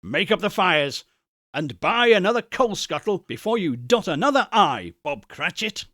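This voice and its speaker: A man voicing an old miser employer in an audiobook, theatrical and emphatic, swinging between mock gruffness and hearty joy. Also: sits high, big pitch swings, moderate pace, bright, forceful tone, dry recording, studio quality, pitched above the performer's own voice, exaggerated voice. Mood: jubilant, hearty. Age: older. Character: gruff-pretending, then exuberantly jolly old miser employer. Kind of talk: dramatic audiobook character reading.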